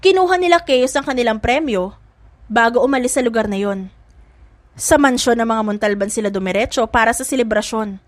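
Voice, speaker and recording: High-voiced woman narrating a dramatic story. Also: a little fast, dry recording. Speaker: audiobook narrator.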